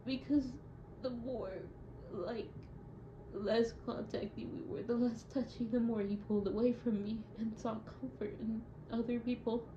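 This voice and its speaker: A young adult woman speaking in a hesitant, halting and reflective way, as in a confessional audio diary. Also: fairly high pitch, fairly even intonation. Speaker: audio diarist. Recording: muffled, echoey room with loud noise.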